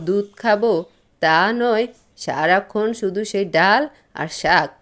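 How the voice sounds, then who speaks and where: A woman's voice: mid-range voice, natural voice. Grumbling, stubborn old village mother-in-law acted by a woman. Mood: querulous complaint. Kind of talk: Bengali cartoon voice acting.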